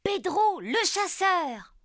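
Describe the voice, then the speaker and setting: An encouraging grown-up lady animal from a children's cartoon. Her voice is high and lively, in a dry studio sound. She presents things kindly and clearly to children. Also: takes it slowly, no echo, heavily acted. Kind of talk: children's cartoon dialogue, French dub.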